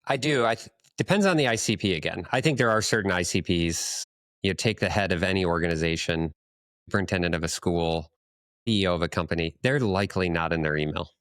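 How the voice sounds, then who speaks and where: A man's voice: deep voice, quick pace, a bit bright, no room sound, studio quality. A man hosting a podcast in a conversational style, thinking aloud and feeling out his phrasing as he goes. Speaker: podcast host.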